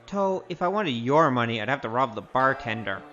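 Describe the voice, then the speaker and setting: A man's voice: medium pitch, expressive, fairly quick, bright, forceful tone, soft background music. Man acting a wry gambler, dull recording. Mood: wry, needling. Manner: sardonic, teasing. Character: wry, cocky wandering gambler and martial artist. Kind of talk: audio drama acting with spoken self-narration. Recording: dull, slightly noisy audio.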